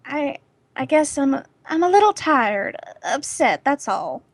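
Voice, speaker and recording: A woman playing a nervous, evasive suburban wife and mother in an amateur radio play, with a hesitant, halting delivery. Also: sits high, big pitch swings, dry recording.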